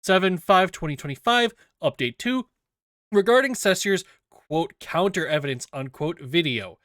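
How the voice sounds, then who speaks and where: A man reading a written document aloud in a deliberate, scripted narration style. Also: high voice, animated, fairly bright tone, no echo, studio quality. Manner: deliberate, spells out quote marks aloud. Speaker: reader of an investigation document.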